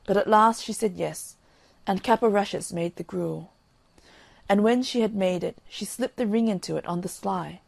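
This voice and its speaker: A woman reading a fairy tale in an expressive, old-fashioned storybook narration. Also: medium pitch, creaky, dry recording.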